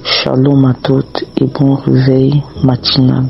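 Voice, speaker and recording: A man with a cold preaching slowly and repetitively, praying and teaching a Bible passage. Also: medium pitch, completely flat delivery, creaky, dull audio. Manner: slow, repetitive, prayerful. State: has a cold, slightly hoarse.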